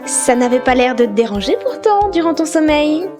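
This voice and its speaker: A woman plays a naive young traveller in a comic audio adventure. Her voice is very high, innocent and questioning with a gentle tease, set over music. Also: big pitch swings, moderate pace. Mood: gently teasing. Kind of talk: comic audio drama acting. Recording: music behind.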